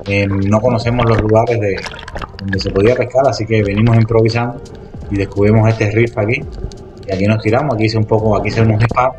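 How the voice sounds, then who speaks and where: A man with a slightly low voice rattles through a casual voice-over of his own diving trip, talking quickly to his viewers. He restarts his sentences often and wanders from point to point. Loud music plays under everything he says. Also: fairly even intonation. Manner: rambling, restarts often, addresses viewers directly. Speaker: spearfishing vlogger.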